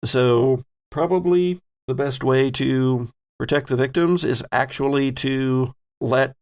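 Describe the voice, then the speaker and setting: A man with a creaky, medium-pitched voice acts as game master. He narrates scenes off the cuff and teases his players as he goes, keeping it casual. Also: takes it slowly.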